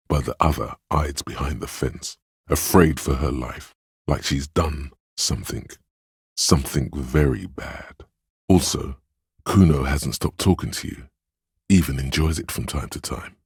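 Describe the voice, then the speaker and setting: An actor playing a grave, perceptive inner voice of empathy in a game, husky and measured, gently guiding the listener in intimate narration. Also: male voice, no room sound, clean studio sound, exaggerated voice. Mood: grave, wary. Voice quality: very deep, husky. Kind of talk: scripted game narration, second person.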